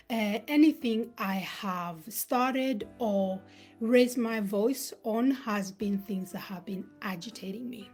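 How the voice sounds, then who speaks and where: A woman from Uganda, raised in Sweden, hosting a livestream talk. She speaks in an earnest, motivational way, pausing with fillers. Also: mid-range voice, a little mellow. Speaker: host and founder.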